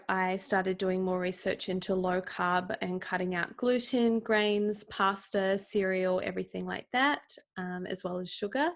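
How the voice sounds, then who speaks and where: A young woman with a New Zealand accent introduces her own health podcast. She talks in a bright, gushing, chatty way, dropping the odd "um" and "like" as she goes. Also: mid-range voice. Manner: upbeat, gushing, uses fillers like "um" and "like". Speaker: podcast host. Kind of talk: casual solo podcast intro.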